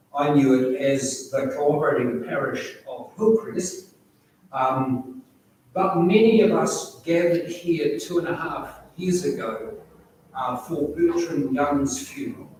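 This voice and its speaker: Older New man, slow in echoey church. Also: on the high side, slight background noise. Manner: loud unamplified voice, measured with frequent ums. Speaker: funeral officiant. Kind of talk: funeral address. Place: large church hall. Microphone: distant recorder, no amplification. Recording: echoey church recording from far off.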